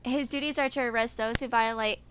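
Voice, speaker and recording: A woman's voice: fairly high pitch, fairly even intonation, a little fast, fairly bright tone, slight background noise. A woman in her twenties casually reading game character lore aloud, stumbling and correcting herself as a video host. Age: 20s.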